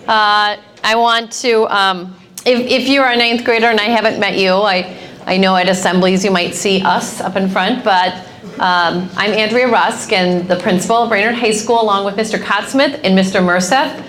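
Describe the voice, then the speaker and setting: A woman's voice: mid-range voice, steady tone, moderate pace, a bit bright. Middle-aged woman principal, upbeat and direct, projecting to a big room of students on a lapel mic. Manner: upbeat, direct, speaks up to a crowd. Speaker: high school principal. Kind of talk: addressing a student assembly. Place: large school cafeteria with students.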